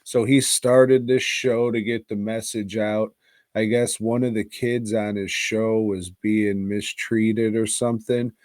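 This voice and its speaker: A low-voiced man chats through a film review as a podcast host. He takes his time, rambling in loose slang and cursing freely, recorded close and dry. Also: level tone, takes it slowly, a little mellow, no room sound. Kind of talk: casual, crude film review chat.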